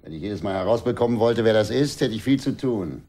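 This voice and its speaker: A man's voice: on the low side, animated, a little fast, noisy background, natural voice. An actor playing a harsh, commanding male gang leader in a radio drama, curt and scornful.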